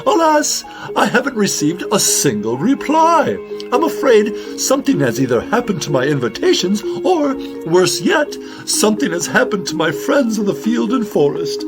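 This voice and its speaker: A man acts a proud, genteel mother owl in a children's audio story. The voice is high and theatrical, swooping with fretful emphasis over music. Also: very animated, normal speed. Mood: distressed. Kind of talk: children's audio drama acting.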